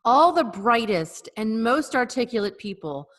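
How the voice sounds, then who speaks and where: A woman's voice: a little high, very animated, a little slow, fairly bright tone. Wry, giggly woman with echoing audio. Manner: wry, giggly delivery of a comic list. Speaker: podcast co-host. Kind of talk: casual chat over video call. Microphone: video call.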